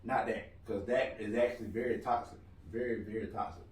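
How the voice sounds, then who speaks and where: Loud married guy on a podcast, rambly and jokey, sounds far from the mic in an echoey room. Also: lowish voice, steady tone, fairly quick. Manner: loud-voiced, joking and rambling. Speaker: podcast co-host. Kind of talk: casual podcast chat. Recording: roomy room, mic at a distance.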